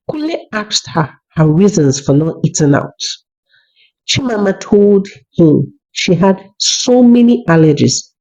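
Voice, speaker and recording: A narrator telling a scripted folktale in a measured way, as a storyteller. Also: mid-range voice, a little mellow. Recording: dull lo-fi recording.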